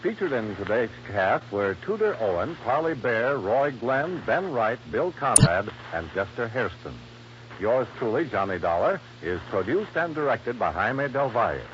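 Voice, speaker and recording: Lively American male vintage radio announcer. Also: mid-range voice, moderate pace. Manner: polished, emphatic sales delivery. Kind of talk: 1950s radio announcing and sponsor ad. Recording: noisy, muffled old radio broadcast.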